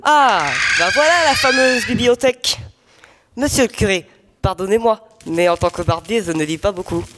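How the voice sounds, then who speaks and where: A man's voice: high voice, normal speed, sharp tone. A teenage boy playing an eager, simple village barber in a student audio drama. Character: eager, unlettered village barber, priest's helper. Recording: echoey hall, faint noise.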